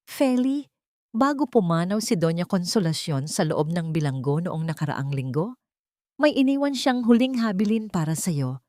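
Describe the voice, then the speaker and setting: A woman's voice: lowish voice, expressive, moderate pace, warm tone, dry recording, lightly acted. A female narrator plays an anxious woman in a dramatic audio story. She is hesitant and solemn, as if carrying a grave secret. The sound is dry and close.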